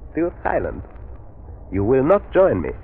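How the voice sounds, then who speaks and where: An actor playing a scheming, megalomaniac army villain in a 1930s radio drama, with a theatrical, formal and sardonic delivery. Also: male voice, medium pitch, wildly swooping pitch, takes it slowly, a little hiss or hum, full character voice. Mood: gloating. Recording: muffled 1930s radio broadcast recording.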